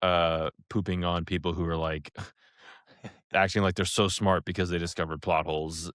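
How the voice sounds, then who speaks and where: A man's voice: low-pitched, little pitch movement, normal speed, a bit bright, no echo, studio quality. A man co-hosting a podcast in a casual, slangy style, with emphatic, exaggerated turns of phrase. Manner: informal, slangy, emphatic hyperbole. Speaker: podcast co-host.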